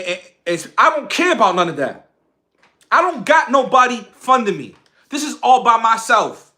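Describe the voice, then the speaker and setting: High-voiced man, bright and forceful. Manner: emphatic, repeats phrases for effect. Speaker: social media content creator on a livestream. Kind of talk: impassioned livestream monologue to followers.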